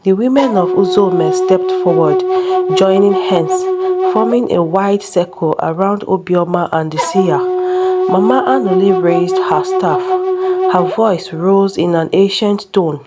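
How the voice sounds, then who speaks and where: A woman tells a dark folktale as a dramatic storyteller. Her delivery is slow and deliberate, with lively rises and falls that build suspense. Loud music plays beneath her voice throughout. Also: mid-range voice. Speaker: storyteller narrator. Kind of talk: dramatic scripted folktale narration. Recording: prominent music under the voice.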